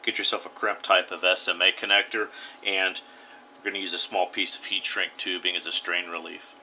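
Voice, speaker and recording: Host, male, deep bright voice, plain step-by-step tutorial delivery, slight hiss behind. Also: flat delivery, fairly quick. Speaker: radio hobby channel host. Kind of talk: DIY tutorial voice-over.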